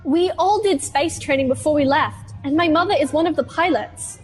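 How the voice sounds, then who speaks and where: A woman's voice: high voice, normal speed, close to an everyday voice. A young woman playing a sarcastic, grumbling teenage girl in a sci-fi radio play, blunt and sardonic. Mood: irritated.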